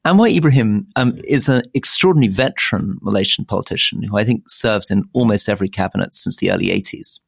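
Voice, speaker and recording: Gravelly-voiced man discussing politics. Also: fast talker, few pauses, dry recording, clean studio sound. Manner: thoughtful, digressive, rises in pitch when animated. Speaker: podcast co-host.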